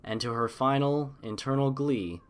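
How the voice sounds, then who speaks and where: A man's voice: medium pitch, normal speed. Man narrating a dramatic story. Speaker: audiobook narrator.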